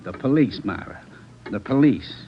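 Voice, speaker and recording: A man's voice: fairly low pitch, lively intonation, normal speed, slight background noise, lightly acted. An American man acting a cornered, defensive crook who stammers, in old radio drama style. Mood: bitter, agitated. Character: nervous, cornered male crook, blaming his wife. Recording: muffled old radio recording with music.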